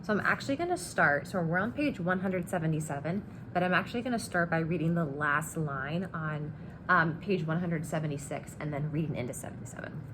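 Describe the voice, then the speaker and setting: A woman reading a children's novel aloud in an animated, expressive storytelling manner. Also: sits low, fairly quick. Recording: clear background noise.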